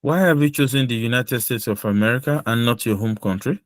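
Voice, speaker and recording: A man's voice: medium pitch, fairly quick, no echo, clean studio sound. A man hosting a mock visa interview on a video call, asking brief, formal questions in a firm official tone.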